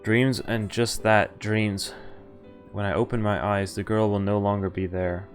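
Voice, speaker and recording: A man with a fairly low voice reads a game's story aloud as he streams. His delivery is informal and a little halting, like a live playthrough. Soft game music plays faintly behind him. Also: flat delivery.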